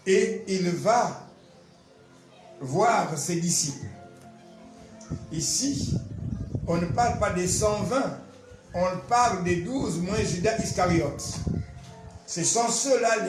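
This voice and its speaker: A man, a pastor giving an animated, emphatic testimony and teaching with repetitive storytelling. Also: sits high. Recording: roomy echo, faint music behind.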